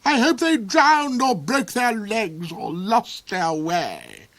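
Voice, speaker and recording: A man's voice: sits high, wildly swooping pitch, slow pace, fairly bright tone, pitched above the performer's own voice, full character voice. A man voicing a cruel, cold, ranting old duke, shrieking, snarling and cackling in an audiobook reading. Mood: gloating. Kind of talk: audiobook character voice.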